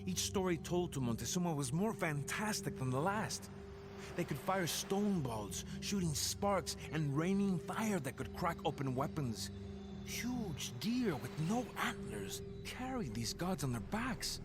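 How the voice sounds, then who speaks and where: A man playing a grave Aztec jaguar warrior who narrates a game campaign in solemn, measured, dramatic storytelling. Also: on the high side, sing-song. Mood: grave, foreboding. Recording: background music under the voice.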